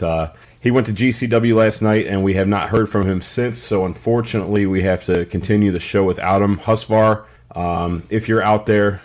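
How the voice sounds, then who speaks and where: Male podcast co-host, somewhat low pitch, loose rambling joking chat. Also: darkish tone. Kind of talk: casual chat.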